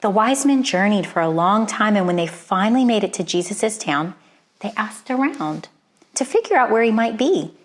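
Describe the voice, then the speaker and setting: Female storyteller, mid-pitched voice, expressive children's read-aloud with deliberate phrasing.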